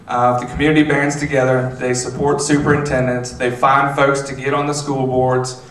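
A man in his thirties, a state legislator, answering questions on a panel with measured, filler-filled speech into a handheld mic. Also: mid-range voice, flat delivery, a bit bright. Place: theater stage. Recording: roomy, distant, faint noise.

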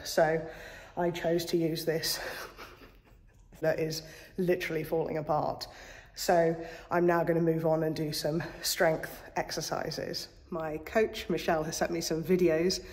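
Low-voiced woman vlogging in an echoey room. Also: a little mellow. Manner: self-deprecating, wry. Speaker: vlogger, injured athlete training. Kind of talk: casual chat to camera. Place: small hotel gym, indoors. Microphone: camera at arm's length. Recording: echoey, reverberant hard-walled room.

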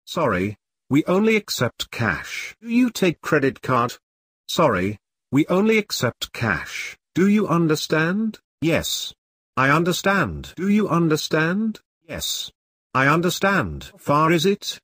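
Slow, husky man reading drills. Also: a little high, huge pitch swings, fairly bright tone, dry recording, clean studio sound. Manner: reads each question and answer twice, measured and deliberate. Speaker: English teacher reading practice dialogues.

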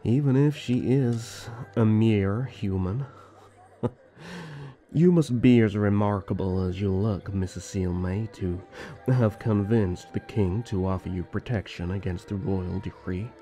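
Man acting as sly rival elf prince, fantasy audio drama, haughty, teasing, dark low drawl, music behind. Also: steady tone, a little slow, natural voice. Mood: sly, appraising. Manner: drawling, condescending, theatrical.

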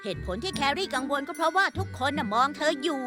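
A woman's voice: high voice, quick pace, over music, lightly acted. A woman voicing a cheerful, childlike robot school bus in a children's cartoon, bubbly and encouraging. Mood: thoughtful, upbeat.

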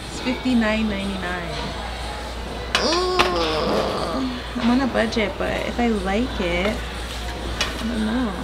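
A woman's voice: mid-range voice, very noisy. A woman chatting casually to viewers as she shops in a large home goods store, exclaiming over items and prices, recorded on a handheld camera. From Canada. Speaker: vlogger. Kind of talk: casual shopping vlog.

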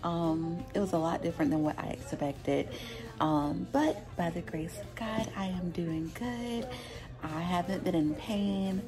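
A young woman talking quietly to her phone camera from a couch at home, low-key and rambling with fillers. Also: a little slow, music in the background. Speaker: vlogger, stay-at-home mom. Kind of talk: quiet casual chat to camera. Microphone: phone at arm's length.

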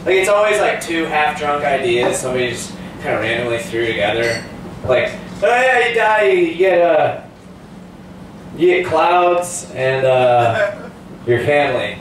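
Echoey, high-voiced young male stand-up. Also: lively intonation, unhurried, fairly bright tone. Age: 20s. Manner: profane, incredulous ranting. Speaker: stand-up comedian at an open mic. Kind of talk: live stand-up comedy. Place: small cafe comedy night, indoors. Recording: distant mic, echoey noisy live room.

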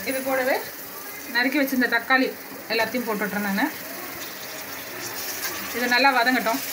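A woman with a fairly high voice talks viewers through a recipe at her stove, taking it one step at a time and speaking to them directly. The recording has clear background noise and kitchen sounds. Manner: unhurried step-by-step instructions, addressing viewers directly. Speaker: cooking channel host. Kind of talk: home cooking demonstration. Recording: noisy home recording.

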